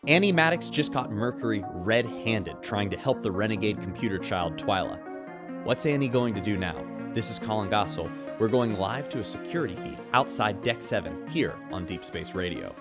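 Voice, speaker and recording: Guy doing a lively low newscaster on space radio, music bed under him. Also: moderate pace, close to an everyday voice. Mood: urgent. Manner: urgent newscaster delivery. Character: upbeat male space-station radio reporter. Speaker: radio news reporter. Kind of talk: live broadcast reporting in radio drama.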